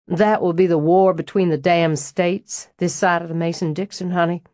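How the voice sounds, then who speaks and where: A laid-back man with a drawl, as a woman narrator puts him on, teasing and flirtatious as he talks. The voice sits on the low side, recorded very dry and close. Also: steady tone, moderate pace, darkish tone, dry recording, lightly acted. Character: easygoing flirtatious southern man, teasing landscaper.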